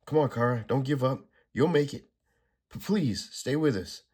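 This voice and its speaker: A man's voice: mid-range voice, animated, moderate pace, a little mellow, slightly rough, voice pushed up. A man doing a grieving male superhero. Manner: urgent, emotional delivery.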